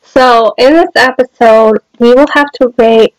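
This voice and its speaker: A woman's voice: fairly high pitch, fairly even intonation, a little slow, no room sound, rough recording. A young woman with a nasal voice from a cold, chatting casually over her game with many fillers and hesitations. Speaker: let's-play host.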